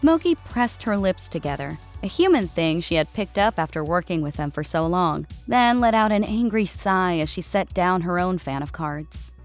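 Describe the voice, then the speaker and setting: A woman's voice: fairly low pitch, animated, moderate pace, few pauses, faint music behind. A woman reading a scripted story as its narrator.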